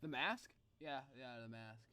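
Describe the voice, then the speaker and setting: A young man giving casual, excitable live reaction commentary, exclaiming and thinking aloud. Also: mid-range voice, unhurried, smooth. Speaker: reaction video host.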